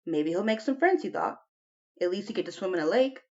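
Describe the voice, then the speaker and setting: A woman's voice: medium pitch, expressive, brisk, dull audio. A woman reading a children's novel aloud as an audiobook narrator. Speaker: audiobook reader.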